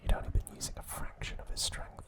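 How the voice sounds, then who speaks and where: An ASMR host narrating trivia in a soft, unvoiced whisper, sex indistinct. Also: quick pace.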